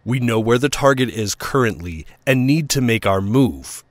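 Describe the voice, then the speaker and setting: An actor playing a hard-line government official in an audio drama, brusque, arrogant and condescending. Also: male voice, mid-range voice, expressive, normal speed, no echo, lightly acted. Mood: determined, hard.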